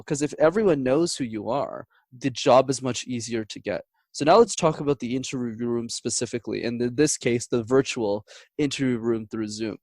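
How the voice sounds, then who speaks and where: Male, medium-pitched, articulate communication coach explaining practical advice in structured, conversational points; very dry recording. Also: a little mellow, no echo. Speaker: communication coach, interview guest.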